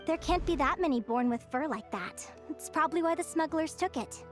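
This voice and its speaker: A woman playing an earnest, gentle young female naturalist in a video game, explaining things articulately and with curiosity. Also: high voice, expressive, brisk, faint music behind. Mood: light. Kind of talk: scripted video game character dialogue.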